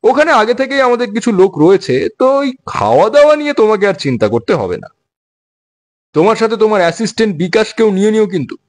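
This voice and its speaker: Man acting a talkative boss with lively pitch. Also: fast talker. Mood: instructing. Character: brisk, talkative male office boss giving orders. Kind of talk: dramatized audio story acting.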